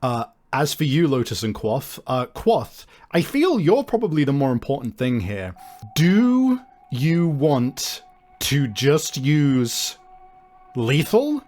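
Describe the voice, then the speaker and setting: A man acting as game master of a tabletop role-playing game, explaining rules in a deliberate, hesitant way. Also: mid-range voice, big pitch swings, a little mellow. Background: music.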